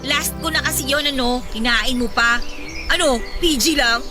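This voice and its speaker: A woman's voice: high-pitched, normal speed, lightly acted. A woman with a Filipino accent playing a feisty, playful young band singer in a radio drama. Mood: teasing. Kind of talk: Tagalog radio drama dialogue. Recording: loud music bed under the voice.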